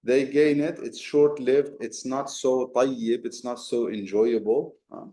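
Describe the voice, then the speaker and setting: A man's voice: mid-range voice, steady tone, slow, warm tone. A man teaching a Quran commentary class, explaining step by step with rhetorical questions and Arabic terms. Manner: mixes Arabic terms into talk. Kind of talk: explanatory religious lesson. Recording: echoey room.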